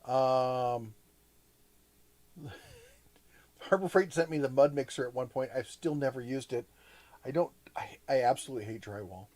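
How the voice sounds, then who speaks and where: Guy hosting a chill livestream, rambly, keeps restarting, pretty excited. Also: mid-range voice, moderate pace, pauses often. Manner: rambling, restarts often, enthusiastic. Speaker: host. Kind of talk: casual livestream chat.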